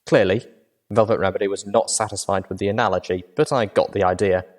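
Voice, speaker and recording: Male audiobook reader, low voice, steady first-person narration. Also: fast. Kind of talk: fan fiction audiobook narration.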